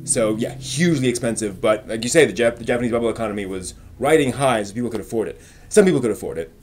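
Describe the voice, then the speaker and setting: A man's voice: on the low side, lively intonation, quick pace, a little mellow, a little hiss or hum. A man hosting a live stream, chatting casually with viewers in an informal, rambling way as he thinks aloud.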